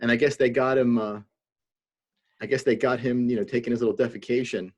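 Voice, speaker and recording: A man's voice: mid-range voice, steady tone, fairly quick. A man co-hosting a casual video-call chat, joking in a laid-back way. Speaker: co-host.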